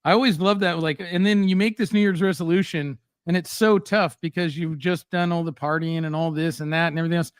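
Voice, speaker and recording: Animated man hosting a live chat. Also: a little high, brisk, hardly any pauses, smooth, no echo, studio quality. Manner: animated, blunt storytelling with frequent fillers. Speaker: host. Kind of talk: casual live-stream chat.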